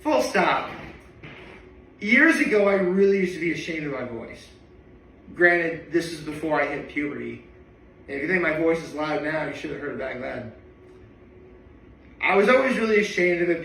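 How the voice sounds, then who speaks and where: A man's voice: a little high, animated, normal speed, pauses often, a bit bright, a little hiss or hum. Young male tenor vlogger, rambly and chatty, mic a bit far off in a roomy space. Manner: chatty and rambling, with many asides. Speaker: daily vlogger. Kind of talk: casual reaction vlog monologue.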